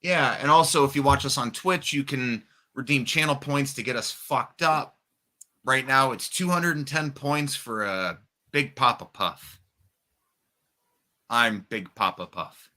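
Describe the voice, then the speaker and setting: A man's voice: mid-range voice, a little slow, sharp tone. A man co-hosting a podcast in casual, profane banter, throwing in crude, brash remarks. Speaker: wrestling podcast co-host.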